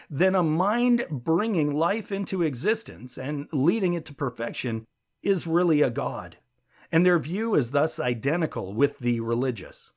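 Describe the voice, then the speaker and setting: A man with a slightly high voice reads a reasoned essay as an audiobook narrator. His delivery is measured and emphatic, with very lively rises and falls in pitch to drive each rhetorical point. The recording is somewhat muffled and lo-fi. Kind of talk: scripted audiobook reading of an essay.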